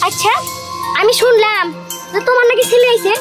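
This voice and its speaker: A woman's voice: extremely high pitch, steady tone, a little fast, over loud music. A woman voicing a meddling, indignant village aunt in a cartoon drama, complaining and insinuating as she chatters.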